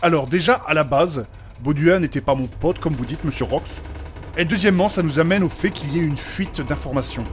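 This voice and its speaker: Man acting pompous male mage, polite, formal precious diction, fairly high voice, fantasy audio drama, faint vehicle noise. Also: a little fast, natural voice. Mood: formal, measured. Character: courteous, pompous, easily shocked male mage explaining lore.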